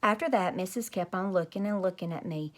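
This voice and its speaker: A woman's voice: lowish voice, brisk, slight background noise. A woman storyteller reading a children's book expressively to young listeners. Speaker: read-aloud teacher, storyteller.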